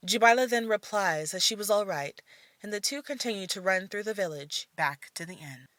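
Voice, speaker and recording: A woman's voice: mid-range voice, animated, moderate pace, fairly bright tone, dry recording. A woman narrating a scripted audio drama as its storyteller.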